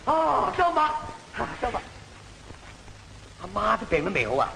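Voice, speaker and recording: Unfilial eldest son, lively swooping intonation, wheedling, Hong Kong Cantonese, old film with faint noise. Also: male voice, very high-pitched, moderate pace, gravelly, exaggerated voice. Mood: pleading. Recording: noisy old film soundtrack.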